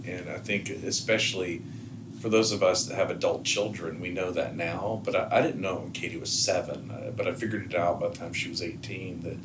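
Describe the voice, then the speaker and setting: Deep middle-aged man, noisy recording. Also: steady tone, fairly quick. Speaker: head of school, podcast guest. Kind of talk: conversational anecdotes and advice. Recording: clear background noise.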